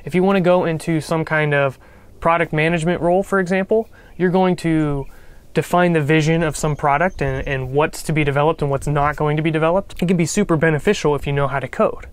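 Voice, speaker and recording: A man's voice: fairly high pitch, brisk, clear, resonant tone, no echo. Upbeat young man, stuffy nasal voice. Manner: upbeat, joking asides. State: nasal from a cold. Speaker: coding tutorial host. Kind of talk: casual instructional talk to camera.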